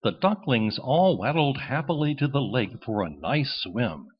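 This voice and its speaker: A man reading a children's storybook as narrator in an expressive, scripted style. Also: medium pitch, measured pace, slightly rough, highs cut off.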